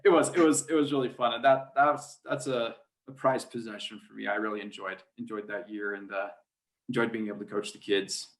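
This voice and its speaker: A man's voice: on the low side, fairly even intonation, brisk, poor audio. A young American man talking casually as an interview guest. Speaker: podcast guest, sports play-by-play announcer.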